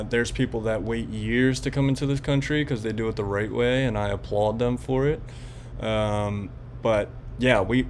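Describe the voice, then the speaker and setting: Casual man podcasting over background noise. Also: lowish voice. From Florida resident of Puerto Rican background. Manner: informal, slangy, halting with pauses. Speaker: podcast host. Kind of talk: casual political commentary.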